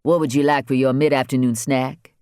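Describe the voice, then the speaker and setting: A woman voicing a calm, courteous male butler. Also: extremely low pitch, brisk, dry recording, studio quality. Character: polite, attentive male butler.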